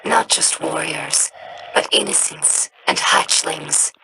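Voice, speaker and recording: Game casting: a young female alien scholar, earnest, slow and solemn, with a gravelly, breathy rasp. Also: low-pitched, heavy background noise, full character voice. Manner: slow, measured, solemn delivery. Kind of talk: game character dialogue.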